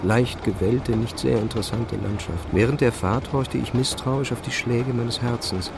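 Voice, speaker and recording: An actor playing an obsessive, haunted young physicist, narrating his own story in an introspective, halting, intense way. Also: mid-range voice, fairly even intonation, creaky, background noise, lightly acted. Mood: absorbed, brooding. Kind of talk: first-person narration in a literary audio drama.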